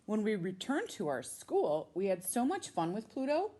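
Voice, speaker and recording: A woman with an American accent, a teacher reading a storybook aloud to children with expressive, drawn-out emphasis on key words. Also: mid-range voice. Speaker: middle school science teacher reading a children's book.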